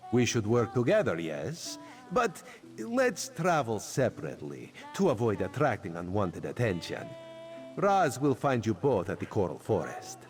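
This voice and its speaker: Sly, low-voiced catlike spy. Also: male voice, wildly swooping pitch, unhurried, soft background music. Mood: conspiratorial. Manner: refers to himself in third person, teasing, conspiratorial. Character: sly catlike male spy, third-person talker, playfully secretive. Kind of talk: video game character acting.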